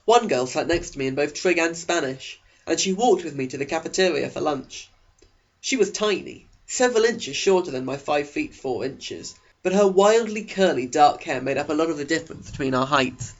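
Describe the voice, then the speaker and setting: Male narrator for spoof audiobook: fairly high voice, sarcastic, overplayed delivery, slightly dull lo-fi sound. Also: animated, moderate pace.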